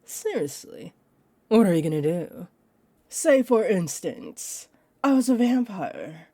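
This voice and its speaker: A woman's voice: mid-range voice, very animated, dark tone, no room sound, full character voice. An actress playing a teasing, possessive female vampire in an audio roleplay, condescending and confident, speaking straight to the listener. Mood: skeptical, probing. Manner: smug, taunting, unhurried.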